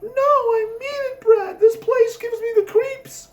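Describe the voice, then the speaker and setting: A man's voice: very high voice, slow pace, pitched above the performer's own voice. A man voicing a frightened, whiny young woman on a date in a storytelling reading, pleading in panic. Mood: pleading, tearful.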